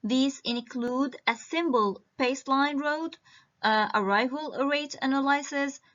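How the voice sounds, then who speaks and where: Woman presenter, high voice, very slow halting delivery, muffled video-call sound. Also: a bit bright. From Iraq. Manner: halting, with frequent hesitations. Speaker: conference presenter. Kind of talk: academic conference presentation.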